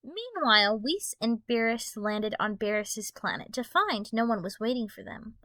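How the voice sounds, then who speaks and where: A woman narrates a fan-made audio story in a bright, fairly high voice. Her scripted reading is very lively in pitch, with a dry sound. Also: moderate pace, dry recording.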